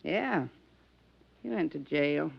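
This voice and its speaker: A woman's voice: low voice, lively intonation, slow, vocal fry, husky, heavily acted. A woman playing a wary, worn landlady in an old-time radio drama, terse and guarded with blunt, ungrammatical replies. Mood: suspicious, curt. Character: wary, worn female boarding-house landlady, blunt, suspicious. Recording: dull, lo-fi old radio recording.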